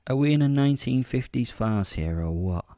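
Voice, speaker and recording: A man's voice: mid-range voice, animated, normal speed, warm tone, dry recording, clean studio sound, pitched above the performer's own voice, natural voice. A man voicing a werewolf's exasperated, bloodthirsty inner companion in an audio drama, weary and sarcastic. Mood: exasperated sarcasm.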